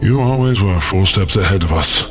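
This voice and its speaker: A man's voice: low-pitched, expressive. A man playing a wise, loyal, dignified companion in a fantasy audio drama, speaking formally with dry patience. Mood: measured, assured. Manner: measured, formal, dryly patient. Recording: voice over background music.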